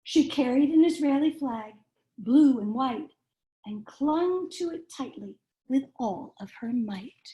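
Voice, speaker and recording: A woman reading a children's storybook aloud in a measured, expressive storytelling manner. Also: high-pitched, rough recording. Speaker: cantor reading a bedtime story.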